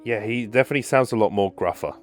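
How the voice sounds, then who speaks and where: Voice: mid-range voice, racing. A gamer giving casual let's play commentary, musing aloud and reacting in short murmured asides. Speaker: gaming video creator. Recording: game music behind the voice.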